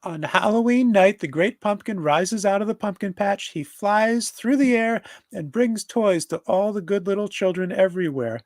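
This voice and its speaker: A man voicing an earnest, gentle little cartoon boy, solemn and sincere, at a live table read. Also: sits high, normal speed, hardly any pauses, dry recording, studio quality.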